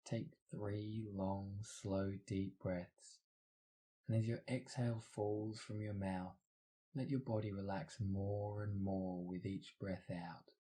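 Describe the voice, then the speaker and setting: A man's voice: lowish voice, level tone, takes it slowly, warm tone. A man narrating a scripted guided meditation in a soothing, instructional manner.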